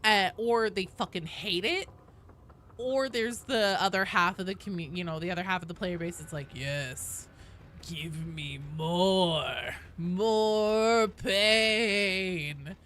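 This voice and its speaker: A woman's voice: medium pitch, moderate pace, a bit bright. A woman hosting a game livestream, chatting casually and informally with viewers, swearing freely. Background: faint video game sounds.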